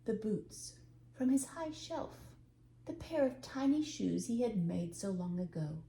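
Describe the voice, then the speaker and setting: Older female storybook narrator: relaxed dark tone, expressive reading, roomy recording with some faint noise. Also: fairly high pitch, a little slow, gravelly. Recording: echoey room, faint background noise.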